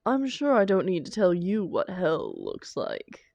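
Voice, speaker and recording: A woman playing a doting, defensive supervillain lover in an intimate roleplay audio drama, coaxing theatrically with endearments and dramatic pauses. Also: lowish voice, huge pitch swings, vocal fry, a little mellow, dry recording, dull audio, exaggerated voice. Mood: indignant, impassioned.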